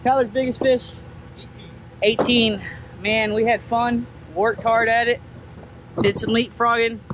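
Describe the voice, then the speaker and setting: A man in his thirties talking casually to an action camera as he fishes from a kayak outdoors, chatty and excited as he coaxes a fish in. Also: very high-pitched, unhurried, noisy background. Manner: chatty, excited coaxing while reeling in fish. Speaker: kayak fishing vlogger. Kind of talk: casual narration while fishing. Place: outdoors on a kayak and at a waterside lot. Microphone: action camera mounted on the kayak and handheld.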